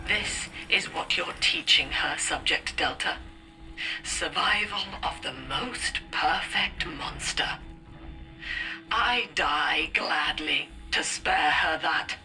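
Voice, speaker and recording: A middle-aged woman playing a sanctimonious, controlling villainous mother in a video game, with measured, accusatory, grandiose delivery. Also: low-pitched, vocal fry, bright, forceful tone, heavily acted, poor audio. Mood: quiet contempt. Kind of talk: dramatic video game acting. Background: music.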